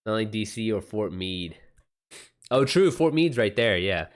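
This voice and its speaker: A man's voice: on the low side, lively intonation, unhurried. Casual American guy chatting on a livestream. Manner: informal, slangy, swears freely. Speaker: livestream host. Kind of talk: casual chat with livestream viewers.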